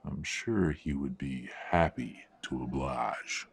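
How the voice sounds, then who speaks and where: Menacing werewolf father, acted by a man: deep, breathy, smug, deliberate audio-drama villain. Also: heavily acted. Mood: threatening. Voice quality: husky, breathy. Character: menacing, controlling werewolf patriarch, middle-aged or older father.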